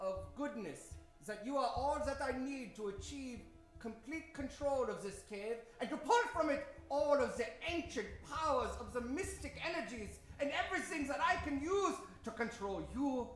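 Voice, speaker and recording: An actor playing a pompous, scheming male villain with a drawn-out melodramatic delivery in an old-time radio adventure parody. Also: very high-pitched, lively intonation, fairly bright tone. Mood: gloating. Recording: roomy stage sound with music behind.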